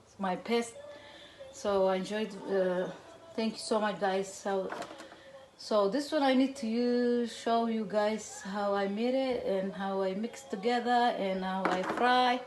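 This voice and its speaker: A woman with a Somali accent talks viewers through a home cooking demonstration in a casual, chatty way, her English halting and mixed with Somali. She stays at a middle pitch. Clear background noise runs behind her in the kitchen. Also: fairly even intonation, darkish tone. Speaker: cooking channel host.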